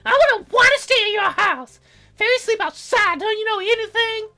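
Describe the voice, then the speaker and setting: A young cloud fairy girl from a visual novel game. The voice sits very high and girlish, with a bright edge. She sounds proud and earnest, matter-of-fact about her grand sky world. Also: normal speed, soft background music, heavily acted. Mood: haughty, indignant.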